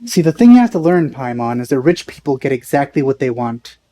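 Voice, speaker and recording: A man's voice: medium pitch, very animated, fairly quick, dark tone. A man giving casual let's play commentary in a dry, skeptical, sarcastic way. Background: faint game music.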